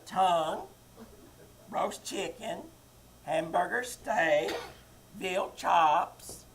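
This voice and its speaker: A woman's voice: lowish voice, drawn-out words, a little hiss or hum. A woman playing a martyred old mother. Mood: fussy. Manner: passive-aggressive, self-pitying repetition. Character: nagging, martyred elderly mother-in-law. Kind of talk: 1940s radio comedy acting. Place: live theater stage.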